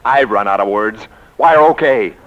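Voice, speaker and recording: A man's voice: on the low side, moderate pace, over loud music, close to an everyday voice. An actor playing an exasperated, sputtering male publisher in a 1940s radio comedy-drama. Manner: indignant, sputtering, stammering. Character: flustered, exasperated male book publisher and boss. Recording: muffled old 1940s radio recording.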